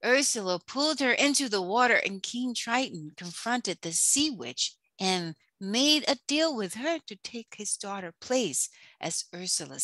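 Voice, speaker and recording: A woman narrating a scripted children's storybook in a deliberate read-aloud manner. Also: mid-range voice, pushed and bright, no echo.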